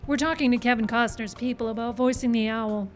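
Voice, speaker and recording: A woman's voice: fairly high pitch, steady tone, a little fast, natural voice. An actress playing a level-headed but sly woman who reasons insistently, in live old-time radio style. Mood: pleased, sly. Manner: insistent, reasoning, seeking agreement. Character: level-headed woman screenwriter pleading with gunmen, slyly ambitious. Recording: live outdoor show with background noise.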